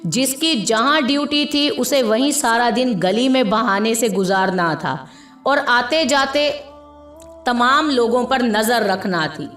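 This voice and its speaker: A woman narrating a scripted story in a measured, expressive storytelling manner. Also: fairly high pitch. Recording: echoey room with background music. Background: music.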